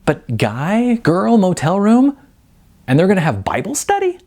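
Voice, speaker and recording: Man voicing a hesitant, high-pitched young woman. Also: wildly swooping pitch, unhurried, darkish tone, heavily acted. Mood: earnest, coaxing. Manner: hesitant, stammering. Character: Jasmine, timid young woman, apologetic, candid, eager to smooth things over. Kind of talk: audiobook character voice.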